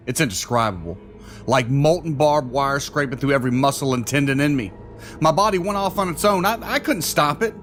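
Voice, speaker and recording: A man's voice: on the high side, fairly bright tone, a little hiss or hum. An actor playing a tormented, desperate man forced to kill, pleading and anguished in a horror audio drama.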